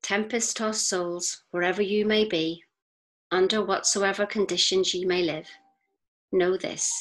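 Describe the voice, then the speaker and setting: A woman reads scripted inspirational narration in a measured, deliberate way, with pauses between phrases. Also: mid-range voice, flat delivery, low-quality recording. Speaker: audiobook reader. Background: faint music.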